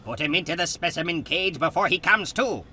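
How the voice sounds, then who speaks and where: A man's voice: fairly high pitch, lively intonation, fairly quick, background noise, lightly acted. A man playing a menacing alien invader leader in a dramatic comic-book reading, imperious and melodramatic. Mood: stern, commanding. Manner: imperious, exclamatory, melodramatic delivery.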